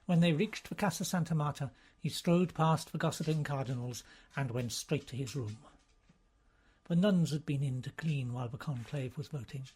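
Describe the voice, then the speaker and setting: A man reading a novel aloud as scripted narration, in a measured, formal storytelling manner. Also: fairly high pitch, a little mellow, slightly rough. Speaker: audiobook reader.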